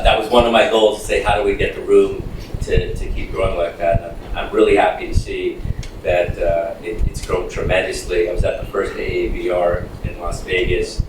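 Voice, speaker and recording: A middle-aged man introducing himself informally as a panelist at an event. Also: low-pitched. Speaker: panelist, wealth management director. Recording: echoey noisy room, distant mic, hum.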